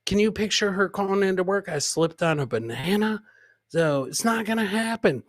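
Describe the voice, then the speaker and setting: A man hosting a live comedy podcast, casually reacting to funny clips in a rambling, jokey, animated way and repeating his catchphrases. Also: high-pitched, normal speed, no room sound, studio quality.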